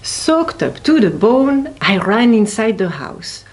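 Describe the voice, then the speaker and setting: Slow-reading woman telling a children's story. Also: a little high, very animated, darkish tone, a little hiss or hum. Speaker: picture book reader at a library.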